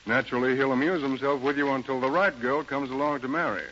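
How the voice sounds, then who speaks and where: A man's voice: medium pitch, fairly even intonation, quick pace, a bit bright, lightly acted. An actor playing a suave, worldly, sophisticated man about town in 1930s radio drama style. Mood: wounded, cautioning. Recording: dull, noisy 1930s radio recording.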